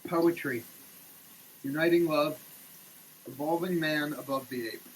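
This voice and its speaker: A man giving a theatrical, declamatory dramatic reading, swinging between hushed delivery and shouting, as a comic performance. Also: fairly high pitch, unhurried, slight background noise, rough recording. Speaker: sketch comedy performer. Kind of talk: dramatic reading of a product label. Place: shower.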